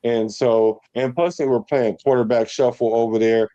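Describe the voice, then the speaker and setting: A man's voice: medium pitch, fairly even intonation, moderate pace, mellow, dry recording. A man from the Bay Area arguing about sports in a casual, emphatic, rhythmic way, full of slang and repeating himself. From San Francisco Bay Area. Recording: dull lo-fi sound.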